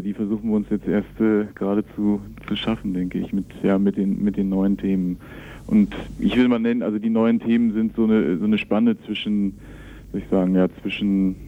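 A man giving spontaneous interview answers, hesitant and searching for words. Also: lowish voice. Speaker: interview guest, magazine representative. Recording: thin phone-like band-limited sound.

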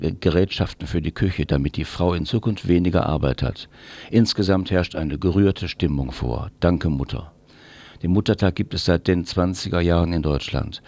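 A man's voice: deep voice, a little mellow. A man presenting a scripted radio commentary with dry irony. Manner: dryly ironic.

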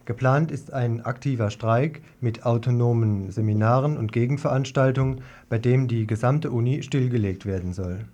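A man's voice: medium pitch, normal speed, hardly any pauses, mellow, muffled recording. A man reading out a scripted political report as a radio news reader.

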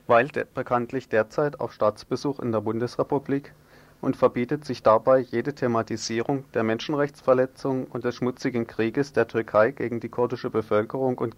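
A man's voice: medium pitch, fairly even intonation, normal speed. A man presenting radio news, reading scripted political commentary in long, formal sentences.

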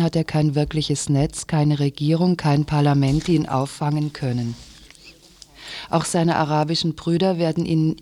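A man's voice: on the high side, darkish tone. A man reading a scripted press commentary aloud as a radio presenter.

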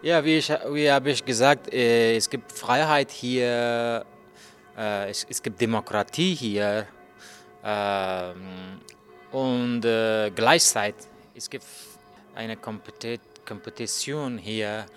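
A man, telling his story as a journalist in halting speech full of fillers and restarts. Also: medium pitch, fairly even intonation, a bit bright, soft background music. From Afghanistan. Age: 30s. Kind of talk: hesitant interview answers in learner German.

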